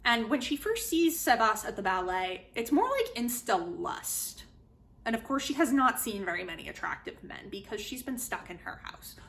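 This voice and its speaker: A woman giving a casual, chatty spoken book review, retelling and commenting in an animated way. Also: mid-range voice, vocal fry. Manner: animated, chatty, acts out quotes informally. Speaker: book reviewer, host of a literary video series.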